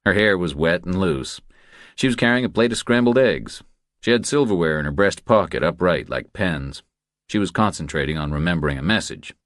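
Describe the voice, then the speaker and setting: Deep-voiced male thriller narrator, dry sound. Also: animated, fairly quick, no room sound. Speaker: audiobook narrator.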